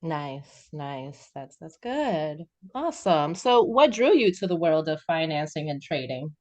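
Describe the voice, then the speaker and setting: A woman's voice: deep voice, big pitch swings, unhurried, a little mellow. A woman hosting an interview, asking questions in an upbeat, encouraging way. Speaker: interview host. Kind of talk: casual interview.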